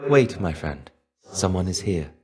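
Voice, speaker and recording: A man playing a weary, melancholy shade of a fallen ancient Greek warrior in a video game, measured, wistful and dryly understated. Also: sits low, big pitch swings, dark tone. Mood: gentle, calm. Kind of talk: video game character voice lines.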